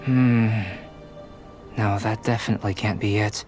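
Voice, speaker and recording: An actor playing a polite, detached young male alchemist in a video game, speaking in a measured, formal, scholarly manner. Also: lowish voice, steady tone, loud music behind the voice, lightly acted. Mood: musing, analytical. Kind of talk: video game character acting.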